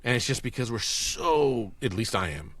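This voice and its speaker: A man's voice: mid-range voice, big pitch swings, moderate pace, a bit bright. A man telling anecdotes in casual podcast chat as a guest voice actor.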